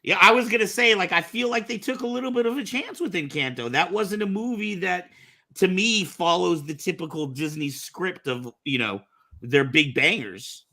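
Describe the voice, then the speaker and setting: A man's voice: high voice, animated, moderate pace, pushed and bright. A man chatting informally as a talk show panelist, rambling a little as he goes. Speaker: podcast panelist. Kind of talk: casual panel chat.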